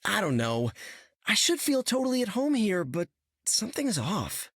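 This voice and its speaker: An actor playing an eager, wisecracking teenage superhero in a game, boyish and jokey. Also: male voice, high voice, huge pitch swings, moderate pace, a bit bright, husky, dry recording, clean studio sound, exaggerated voice. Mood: uneasy.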